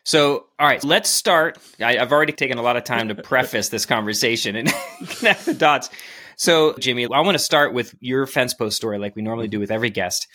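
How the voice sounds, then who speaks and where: A man's voice: medium pitch, fairly quick, sharp tone, no echo, clean studio sound. A man hosting a faith podcast interview, affirming his guest and leading the questions. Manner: affirming, with short agreeing interjections. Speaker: podcast host. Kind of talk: conversational interview.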